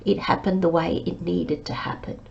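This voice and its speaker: A woman giving a tarot reading to her listeners, talking in a slow, reflective and gently encouraging way while she has a cold. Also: lowish voice, steady tone, vocal fry, muffled recording, slight background noise. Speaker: tarot reader.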